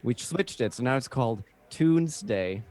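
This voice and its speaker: A man's voice: medium pitch, lively intonation, unhurried, a little mellow. A man who streams games, chatting casually as a player. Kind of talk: casual chat.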